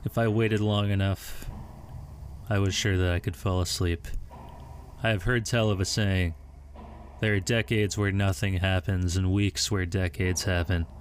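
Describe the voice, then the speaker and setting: A low, grave voice tells a first-person audio drama as a sleepless, resentful man acted by a young man. The delivery is literary and deliberate, haunted by confinement. Some noise sits in the home recording. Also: steady tone. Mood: weary, haunted. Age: young adult. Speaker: student voice actor and narrator.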